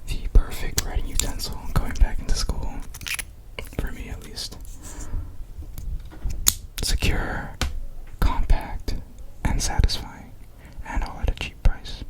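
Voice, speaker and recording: A young man whispering ASMR rambles and improvised stories in a hushed, playful way with drawn-out phrasing. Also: poor audio. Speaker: ASMR video creator.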